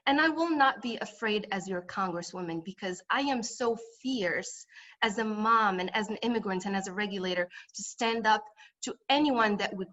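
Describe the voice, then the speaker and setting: A woman's voice: medium pitch, fairly bright tone, muffled recording. A woman from Morocco speaking as a congressional candidate at a political forum, with emphatic, repetitive campaign phrasing.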